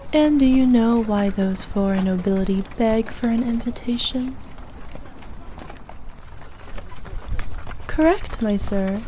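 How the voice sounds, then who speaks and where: A woman playing a haughty, ruthless evil queen who dotes on her favourite knight, speaking in a regal, imperious, measured manner for an ASMR roleplay. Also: medium pitch, warm tone, a little hiss or hum, lightly acted. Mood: fond, proud. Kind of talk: ASMR roleplay, scripted character acting.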